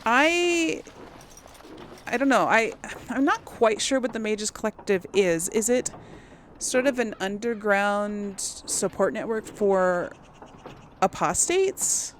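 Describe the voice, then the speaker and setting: A woman's voice: medium pitch, animated, slow, a little hiss or hum. A woman hosting a casual game playthrough, thinking aloud in a hesitant, musing way. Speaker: gaming video host.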